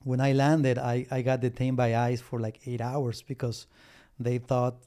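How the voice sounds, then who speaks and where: Halting Peru-born man with a mellow voice. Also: mid-range voice, little pitch movement. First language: Spanish. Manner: halting, frequent restarts and fillers. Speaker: guest, business litigation lawyer. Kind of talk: casual interview talk.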